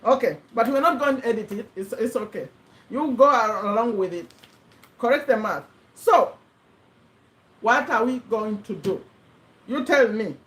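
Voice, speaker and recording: A woman's voice: on the high side, lively intonation, unhurried, long pauses, fairly bright tone, poor audio. A woman in her fifties from Uganda, talking casually to camera, rambling and correcting herself as she goes. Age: 50s. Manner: rambling, self-correcting, earnest. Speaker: vlogger. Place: USA.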